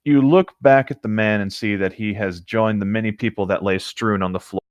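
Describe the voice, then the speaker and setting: A man's voice: fairly low pitch, steady tone, fairly quick, no room sound, dull audio. A man reading fiction aloud as a co-host, in a narrating style with casual asides.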